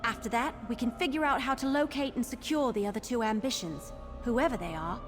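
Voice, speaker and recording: A woman playing a plucky, earnest young lizardfolk investigator in a fantasy video game, brisk and informal. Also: fairly high pitch, in a large hall, music in the background, close to an everyday voice. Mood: decisive, earnest.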